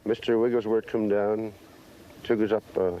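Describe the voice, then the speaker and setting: A man's voice: fairly low pitch, level tone, normal speed, mellow. A man giving a plain, repetitive spoken recollection in a documentary interview. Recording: dull archive audio with faint noise.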